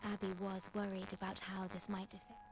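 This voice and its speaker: A woman playing a calm, formal female guardian in a video game, measured and soft-spoken. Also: mid-range voice, noisy background, lightly acted. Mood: calm, concerned. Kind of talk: video game story dialogue.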